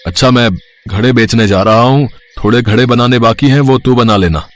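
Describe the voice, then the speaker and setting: A man's voice: medium pitch, normal speed, lightly acted. Man playing a weary poor potter father. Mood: resigned. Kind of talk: Hindi animated moral-story voice acting.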